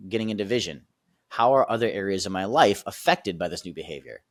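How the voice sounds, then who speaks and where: Male performance coach, deep voice, dry close recording, lively teaching tone. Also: fast, no echo. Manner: energetic, repeats "right?" and "okay?" often. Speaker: sales and performance coach, guest. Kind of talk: casual teaching explanation.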